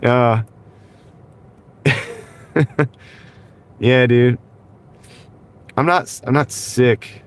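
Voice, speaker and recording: A man with a congested, slightly rough voice, chatting casually with his viewers during a livestream and chuckling as he reads their comments. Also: mid-range voice, drawn-out words, long pauses. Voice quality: nasal from congestion. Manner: rambling, reads chat aloud, chuckles often. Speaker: livestream host. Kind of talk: casual live stream chat with viewers. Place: in his car at night. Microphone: wireless clip-on mic.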